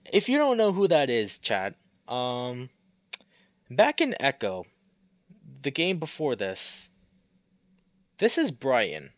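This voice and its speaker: A man with a mid-pitched voice streams a story game, reading the narration and adding casual, sweary commentary. The sound is dry and close, a little dull on top. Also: wildly swooping pitch, takes it slowly, pauses often, no echo. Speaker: streamer reading a visual novel aloud.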